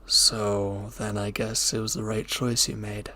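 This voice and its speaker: A man playing a reformed villain turned devoted lover in an intimate audio drama, speaking softly, gently and hesitantly with a breathy voice. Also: fairly low pitch, flat delivery, a little slow. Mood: earnest, guarded.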